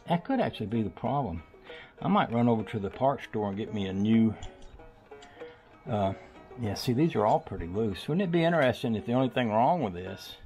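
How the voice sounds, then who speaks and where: A man's voice: mid-range voice, a little mellow. A man talking casually through a car repair, thinking aloud in a folksy way. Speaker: home mechanic vlogger. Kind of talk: casual workshop narration.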